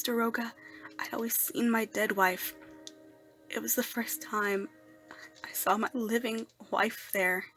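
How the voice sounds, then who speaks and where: A woman performing an amateur dramatic monologue as an anguished, lovesick, masked male outcast near death, in a halting, breathy delivery that moves between hushed murmurs and emotional outbursts, over music. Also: medium pitch, rough recording. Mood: hushed, confiding.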